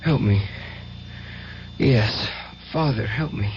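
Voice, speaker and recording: An intense, tormented young believer. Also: male voice, medium pitch, takes it slowly, darkish tone. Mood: wounded, pleading. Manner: earnest, intense, dramatic. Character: fervent, tormented young male psychic nephew, devoutly religious. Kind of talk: old-time radio drama acting. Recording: dull, hissy old radio recording.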